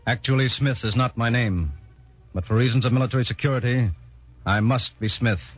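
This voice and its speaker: An actor playing a dutiful army sergeant, speaking formally in a 1940s radio drama. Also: male voice, medium pitch, brisk, slightly rough, natural voice. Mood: matter-of-fact. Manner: formal, military. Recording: muffled 1940s radio recording, music behind.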